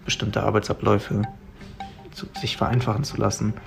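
A male student reflects on his studies in a measured, thoughtful monologue. His low voice is deeply gravelly and stays flat in pitch. Music plays clearly under him. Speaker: student speaker.

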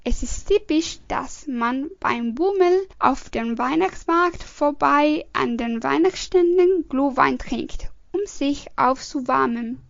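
High, gravelly woman on a dull recording. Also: dry recording. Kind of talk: reading a prepared talk.

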